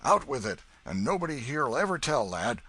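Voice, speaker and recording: A man voicing a kindly, gruff old Welshman with a booming, fatherly manner. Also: sing-song, fairly quick, sharp tone.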